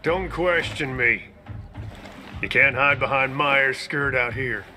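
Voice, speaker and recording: A man's voice: mid-range voice, slow, sharp tone, slight background noise. A man voicing a blunt, commanding army officer who brushes people off. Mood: gruff. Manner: curt, commanding. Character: gruff male officer, blunt, dismissive. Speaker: army lieutenant. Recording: cutscene with score music.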